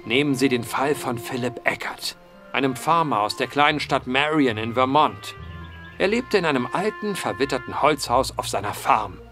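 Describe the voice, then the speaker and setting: A man's voice: medium pitch, lively intonation, moderate pace, sharp tone, faint music behind. An actor playing an earnest male investigator in a period mystery radio play, speaking formally and eloquently like a storyteller. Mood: grave storytelling.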